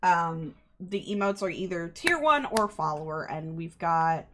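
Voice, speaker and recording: A woman's voice: on the low side, unhurried, noisy background. A woman hosting a livestream, chatting casually to her viewers in an apologetic, giggly manner. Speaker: livestream host. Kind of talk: casual stream chat to viewers.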